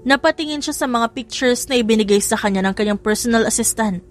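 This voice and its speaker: A woman's voice: on the high side, fast. A woman reading a scripted love story as a dramatic audiobook narrator. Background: music.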